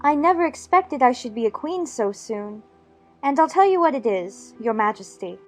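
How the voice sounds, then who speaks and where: A woman's voice: sits high, big pitch swings, moderate pace, faint music behind. A woman playing a prim, earnest, argumentative young girl in a dramatized storybook. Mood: self-scolding.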